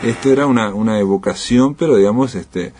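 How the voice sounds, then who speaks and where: A man's voice: fairly low pitch, a little fast, warm tone. A man with a Uruguayan Spanish accent hosting a radio interview, talking in loose, rambling phrases full of "digamos" and "este" and backchannelling with "ujú". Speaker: radio show host.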